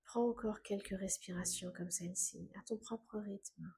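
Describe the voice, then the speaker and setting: A woman guiding a scripted meditation in a calm, gentle, unhurried way, with soft phrase endings that fade into breath. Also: medium pitch, gravelly, a little hiss or hum. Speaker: meditation guide.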